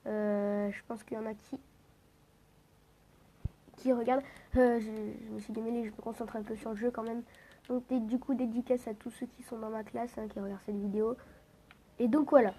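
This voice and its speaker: A woman's voice: fairly high pitch, fairly even intonation, normal speed, long pauses, mellow, slight background noise, low-quality recording. A teenage girl with a head cold, talking a bit through her nose, rambling casually over a game with lots of fillers and restarts. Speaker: gaming vlogger.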